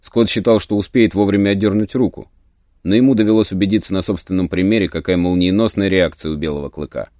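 A man narrating an adventure story in a measured, even storytelling manner. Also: deep voice, no room sound, studio quality. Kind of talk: scripted narration of a radio play.

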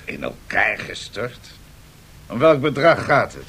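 A man's voice: mid-range voice, very animated, takes it slowly, creaky, a bit bright, slightly rough, heavily acted. An actor playing a brusque, authoritative male prime minister in a 1970s radio drama, curt and imperious. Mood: brusque, stern.

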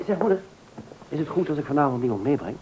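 A man's voice: fairly high pitch, expressive, quick pace, darkish tone, breathy edge, low-quality recording. An actor playing a polite, good-natured grown son in a radio serial, a courteous young man. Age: young adult. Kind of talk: Dutch radio serial acting.

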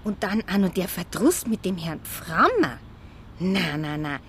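A woman's voice: lowish voice, very animated, a little slow, fairly bright tone, slight background noise. An actress playing a chatty, credulous newspaper kiosk woman in a radio drama, speaking colloquial Bavarian. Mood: incredulous gossip. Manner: colloquial, gossipy. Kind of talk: naturalistic radio drama dialect dialogue. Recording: music behind the voice.

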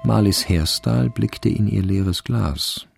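A man's voice: fairly low pitch, slow, gravelly, darkish tone, faint music behind, exaggerated voice. An actor playing a sober, wry male narrator, reading scripted literary narration in a radio crime drama.

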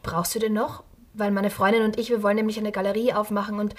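An actress playing a curious, casual young Austrian niece in naturalistic radio drama dialogue. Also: female voice, mid-range voice, little pitch movement, fast talker, close to an everyday voice.

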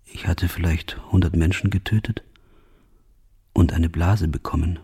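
An actor playing a haunted, guilt-ridden killer in a radio drama monologue, brooding and measured, dropping into breathy near-whispers. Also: male voice, sits low, steady tone, mellow, exaggerated voice. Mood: numb, eerie.

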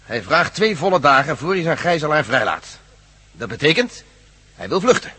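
An actor playing a shrewd, assertive strategist in a radio drama, husky and emphatic as he reasons aloud. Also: a little high, very animated, moderate pace, bright, forceful tone, heavily acted. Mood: emphatic, triumphant. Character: shrewd, assertive strategist plotting against an enemy.

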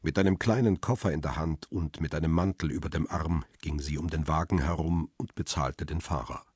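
Casting: world-weary male first-person narrator in a radio thriller, deep bass, rough-edged, restrained delivery. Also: a little fast, a little mellow, no echo, clean studio sound. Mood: wistful, calm. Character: weary, lovelorn male paparazzo narrating his thriller story.

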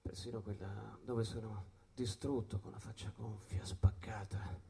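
An actor playing a battered, broken jazz musician in a stage drama, telling his memories in a halting, brooding monologue. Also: lowish voice, fairly even intonation, vocal fry, dark tone. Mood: subdued, weary. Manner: halting, brooding, repeating words. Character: battered, broken jazzman recalling menace at a diner. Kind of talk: theatrical monologue.

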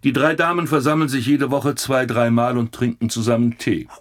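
An actor playing a blunt, grumbling police commissioner with a sardonic, gossipy manner in a German radio play. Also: male voice, mid-range voice, steady tone, moderate pace, close to an everyday voice. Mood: sardonic, exasperated. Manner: sardonic, colourful.

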